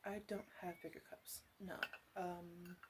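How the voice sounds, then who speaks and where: Woman playing a slow, softly teasing witch. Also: lowish voice, level tone, very noisy, close to an everyday voice. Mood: coy, fussing. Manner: hesitant, halting, softly teasing, often dropping into whispers. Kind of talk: ASMR roleplay.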